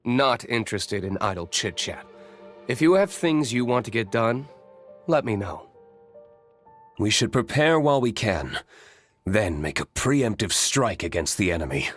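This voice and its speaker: A man's voice: lowish voice, fairly bright tone. A man voicing a curt, reserved, brooding young hero in video game character lines.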